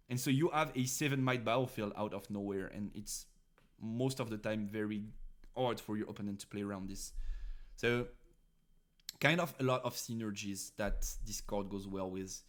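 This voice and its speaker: French-accented man chatting on a stream. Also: mid-range voice. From France. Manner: rambling, many fillers and restarts, chatting to viewers. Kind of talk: casual live-stream talk about card game decks.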